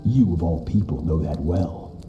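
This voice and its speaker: An actor playing a ruthless, battle-hungry sword master in a video game, speaking in a cold, haughty, grandiose manner. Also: male voice, low-pitched, animated, unhurried, over music. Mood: proud, imperious. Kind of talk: dramatic video game dialogue.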